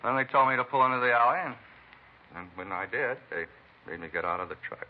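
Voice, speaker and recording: A man's voice: mid-range voice, expressive, fast talker, close to an everyday voice. An actor playing a beaten, talkative American truck driver in a vintage radio drama, rambling and folksy in plain working-man talk. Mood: earnest recounting. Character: beaten truck driver, talkative, folksy, eccentric self-styled bump-reader. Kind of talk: 1940s-50s radio acting. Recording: muffled vintage radio recording, faint hiss.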